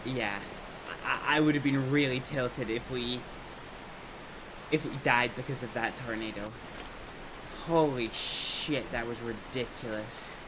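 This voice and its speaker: A man streaming a game, hoarse and gravelly from a cold, exclaiming and swearing excitedly as he plays. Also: mid-range voice, a little slow, pauses often. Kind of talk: live gameplay commentary. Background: storm chase game sounds, wind and vehicle.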